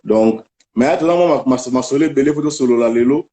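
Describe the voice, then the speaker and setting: Mellow-voiced interview host. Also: male voice, medium pitch, brisk. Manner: switching between French and Lingala. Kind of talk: casual live interview.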